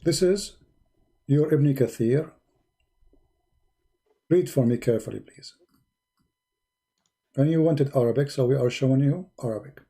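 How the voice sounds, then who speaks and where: A man hosts a live religious debate in a very dark, husky voice. He is insistent and challenging, often repeating his questions to press the other side. The delivery is argumentative and unscripted. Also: mid-range voice, unhurried, long pauses. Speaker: host of a religious debate call-in.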